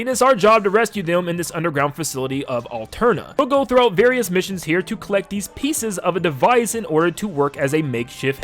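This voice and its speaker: Animated, high-pitched man gushing about games. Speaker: video game reviewer and host. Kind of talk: enthusiastic game retrospective commentary. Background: game soundtrack music under the voice.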